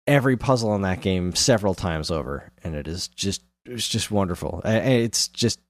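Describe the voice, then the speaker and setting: Podcast co-host: American man, deep voice, casual enthusiastic chat, very dry sound. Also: animated, a little fast, no room sound. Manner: enthusiastic, informal slang.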